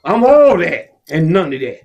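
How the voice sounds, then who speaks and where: Impassioned husky man with a high voice. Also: big pitch swings, normal speed, highs cut off. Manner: impassioned, profane, rising to shouts. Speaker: podcast co-host. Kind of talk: heated casual discussion.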